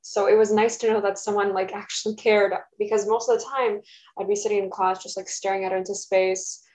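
A woman's voice: mid-range voice, flat delivery, a little fast, darkish tone. A young woman, Russian her first language, answering interview questions in a hesitant, thoughtful way with many fillers, at home. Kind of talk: casual interview. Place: home, roommate nearby. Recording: dull lo-fi recording.

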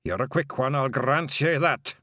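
A man voicing an old male pixie bard with a Scottish accent, speaking slowly and solemnly. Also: mid-range voice, sharp tone, no echo, studio quality, pitched above the performer's own voice. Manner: slow, solemn and lilting.

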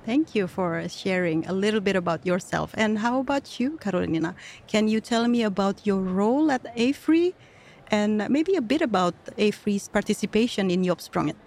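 A woman's voice: medium pitch, lively intonation, moderate pace, a little mellow. A woman hosting a live podcast interview at an event, speaking in an upbeat, promotional way. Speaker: podcast host.

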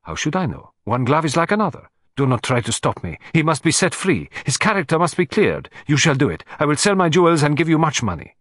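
Casting: imperious Russian countess for storybook played by a man, theatrical, very animated, recorded dry and close. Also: on the high side, a little fast, hardly any pauses, dry recording, pitched above the performer's own voice. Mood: haughty, defiant. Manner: theatrical, commanding, sweeping declarations.

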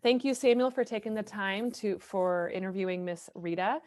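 A woman's voice: mid-range voice, normal speed, darkish tone. A woman hosting a podcast interview, upbeat and encouraging toward her guests. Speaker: podcast host.

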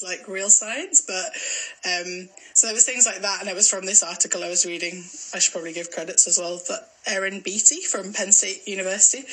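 A woman's voice: mid-range voice, pushed and bright, husky, low-quality recording. A woman in her twenties from Glasgow with a mild in-between accent, co-hosting a casual podcast chat, giggling and trailing off with fillers. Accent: mild, mixed Glasgow accent. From Scotland. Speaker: podcast co-host.

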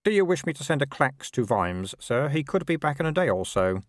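A man's voice: medium pitch, big pitch swings, fairly quick, no echo, clean studio sound, pitched above the performer's own voice, natural voice. A man voicing a deferential, concerned aide in an audiobook, formal and respectfully insistent. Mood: dutiful. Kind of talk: audiobook character voice.

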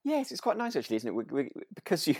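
A British man co-hosting a podcast in relaxed, conversational chat, agreeable and tentative in his phrasing. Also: a little high, very fast, no room sound, studio quality. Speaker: podcast co-host. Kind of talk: relaxed casual chat about a radio drama.

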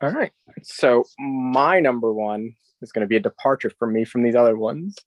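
A man's voice: mid-range voice, moderate pace, no room sound, dull audio. Male American co-host, mid-range voice, casual podcast chat, dry close recording.

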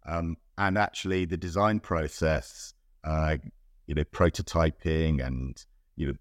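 A man's voice: a bass voice, fairly even intonation, a little slow, no room sound, studio quality. A middle-aged British man from the UK answering interview questions in a thoughtful, hesitant way, with many ums and restarts. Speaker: guest, innovation director.

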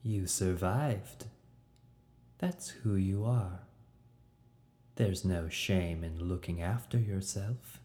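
A man reading a scheming male fright who coaxes and condescends in a calm, measured way. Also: low voice. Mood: smug. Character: scheming male fright, silken manipulative villain. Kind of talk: audiobook character voice.

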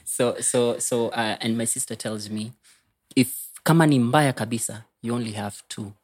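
A man with a Kenyan accent speaks in a mid-pitched voice as a podcast guest. He tells stories about himself in a loose, confessional style. He moves freely between English and Swahili. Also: steady tone, normal speed. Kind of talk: casual confessional storytelling.

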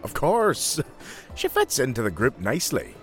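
A man playing a sentimental, gentle young friend who speaks frankly, in an audio drama. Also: medium pitch, wildly swooping pitch, moderate pace, soft background music. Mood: friendly.

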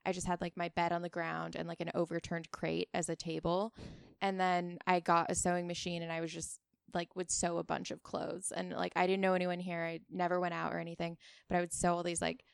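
Guest: young woman from Hawaii, relaxed rambling chat, a little gravel in the voice, dry close sound. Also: lowish voice, brisk, no room sound. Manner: chatty, lots of "like" and "um" fillers. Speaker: guest, small business owner. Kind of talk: casual chat.

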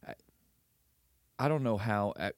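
A man's voice: fairly low pitch, unhurried, no echo, clean studio sound. A man co-hosting a podcast, sounding congested and nasal from allergies, rambling through jokes. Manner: rambling, jokey storyteller. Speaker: podcast co-host. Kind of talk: casual chat.

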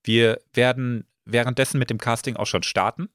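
A slightly hoarse man hosting a casual podcast, relaxed and full of filler sounds. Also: mid-range voice, normal speed, no room sound, clean studio sound. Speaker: podcast host.

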